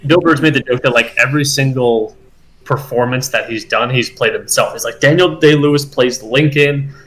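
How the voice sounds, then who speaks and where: A man co-hosting a casual chat podcast, talking in a rambling, animated way with many fillers and restarts. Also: medium pitch, normal speed, rough recording. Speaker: podcast co-host.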